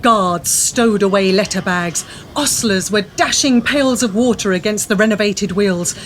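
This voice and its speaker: A woman's voice: medium pitch, unhurried, a little hiss or hum. A woman narrating a story from a script in a dramatic audio drama style.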